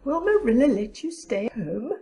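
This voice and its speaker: A woman's voice: on the high side, very animated, normal speed, dark tone, breathy edge, exaggerated voice. A woman voicing a young schoolgirl, a plaintive and coaxing best friend, in an audiobook. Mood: anxious curiosity. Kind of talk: audiobook character dialogue.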